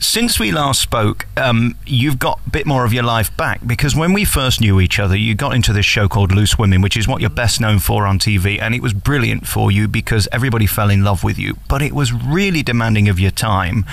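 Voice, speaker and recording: A man hosting a celebrity interview in a chatty, flattering and teasing way. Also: on the low side, fairly quick, vocal fry, few pauses. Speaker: interviewer and host.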